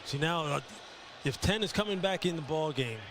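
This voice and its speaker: A man's voice: fairly high pitch, expressive, moderate pace, a crowd in the background. A man giving unscripted, conversational football analysis on a broadcast, often repeating his words. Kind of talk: unscripted televised game analysis. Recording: noisy stadium game broadcast.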